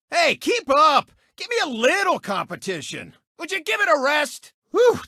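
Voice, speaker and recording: A man's voice: extremely high pitch, sharp tone, no room sound, studio quality, exaggerated voice. A man voicing a cocky, gloating cartoon race car who hosts a sports show in a video game, brash and theatrical. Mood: gloating, taunting. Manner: brash, smug, theatrical showman. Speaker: racer and TV racing commentator. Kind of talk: animated video game sports commentary and taunts.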